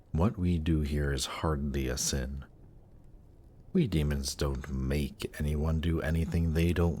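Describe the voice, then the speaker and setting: A man playing a suave, patient, seductive demon prince in a fantasy roleplay audio drama, speaking in a teasing, coaxing, measured way. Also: very deep voice, darkish tone, full character voice. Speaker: voice actor. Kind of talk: slow, intimate roleplay audio drama performance.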